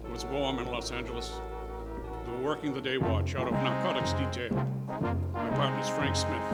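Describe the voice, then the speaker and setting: Guy doing a clipped no-nonsense radio cop, average male pitch, music playing behind. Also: natural voice. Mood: dry, matter-of-fact. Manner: clipped, matter-of-fact. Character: terse, steady male police detective. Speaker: actor in a staged radio tribute. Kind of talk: old-time radio drama re-enactment.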